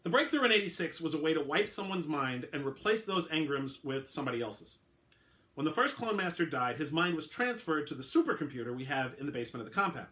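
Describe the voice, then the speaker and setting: A man's voice: mid-range voice, pushed and bright. A man voicing a middle-aged male clone henchman, earnest and rattled, who keeps correcting himself as he confesses in a story reading. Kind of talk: dramatic reading of a short story.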